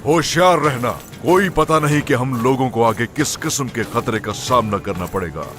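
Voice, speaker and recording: A man's voice: medium pitch, normal speed, a bit bright, faint music behind, natural voice. A man voicing a cautious, dutiful henchman in a cartoon, wary and obedient. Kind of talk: animated cartoon dub acting.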